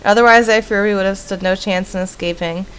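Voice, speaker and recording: A woman's voice: mid-range voice, steady tone, a little fast, smooth, low-quality recording. Woman streamer reading a horror game aloud. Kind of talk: reading a horror visual novel aloud with casual asides. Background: faint music.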